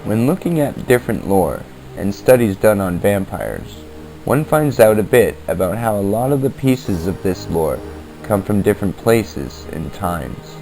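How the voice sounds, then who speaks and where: Low-voiced man narrating with lively inflection. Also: measured pace, vocal fry, low-quality recording. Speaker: podcast host and narrator. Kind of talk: scripted narration on the paranormal in old-time radio format. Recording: basic amateur equipment, music underneath.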